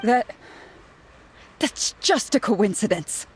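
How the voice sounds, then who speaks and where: A woman's voice: mid-range voice, expressive, slow, darkish tone, slight background noise. A woman voicing a bitter, cornered female villain and turncoat guard in a video game, with a scornful, theatrical, volatile delivery. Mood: flustered. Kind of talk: dramatic video game voice acting.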